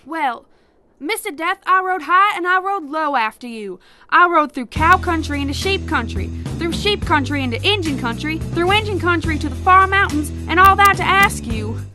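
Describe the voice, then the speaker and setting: A woman's voice: very high voice, lively intonation, moderate pace, music in the background. A woman playing a stubborn, plain-spoken young frontier woman in love. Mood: pleading. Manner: bold, indignant, plain-spoken.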